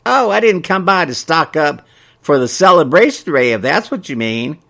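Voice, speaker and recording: A man's voice: fairly high pitch, big pitch swings, normal speed, vocal fry, sharp tone, voice pushed up, full character voice. A man voicing a chatty, thrifty elderly small-town woman in a story, with a folksy, fussy manner. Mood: dismissive.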